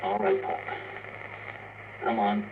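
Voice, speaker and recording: A man's voice: lowish voice, fairly even intonation, takes it slowly, phone-quality sound. An actor playing a practical spaceman who leads a crew, terse and commanding, in a 1950s radio drama. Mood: businesslike, reassuring. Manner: terse, commanding, matter-of-fact. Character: gruff, practical spaceman leading a crew, male. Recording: noisy, thin, distant old radio recording.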